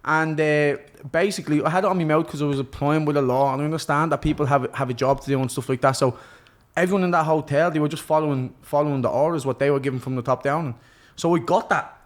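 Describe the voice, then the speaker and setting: A young Irish man chatting casually as a podcast guest, telling lively slangy stories and laughing often. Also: on the high side, quick pace. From Ireland. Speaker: podcast guest, professional boxer. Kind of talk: casual chat.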